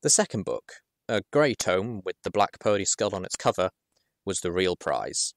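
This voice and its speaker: A man reading a first-person adventure story aloud in a measured, expressive storytelling manner. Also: deep voice, no room sound, clean studio sound. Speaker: audiobook reader.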